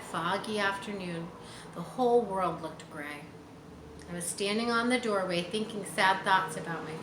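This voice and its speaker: A woman in her forties reading a children's book aloud, dramatically and with explanatory asides for young listeners. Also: mid-range voice. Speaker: storyteller. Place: bright room by large windows. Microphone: camera a short distance away. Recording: roomy, some background noise.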